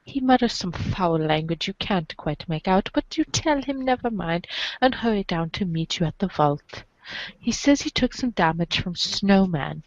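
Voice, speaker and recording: A woman reading a script aloud as dramatic second-person narration for a podcast, in a deliberate way. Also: lowish voice, expressive, no echo, muffled recording. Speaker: podcast reader.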